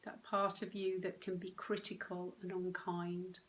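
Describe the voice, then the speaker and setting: A woman guides a meditation in a gentle, slightly low voice. Her intonation stays very level and her delivery is very slow, with short phrases set apart by long, restful pauses. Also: little pitch movement, slight background noise. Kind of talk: guided meditation, soothing instruction.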